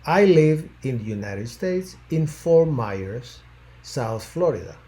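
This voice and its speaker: Measured, mellow-voiced physician from Puerto Rico. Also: male voice, medium pitch, very animated. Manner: measured, formal delivery that trails off at phrase ends. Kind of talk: prepared self-introduction. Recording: faint background noise.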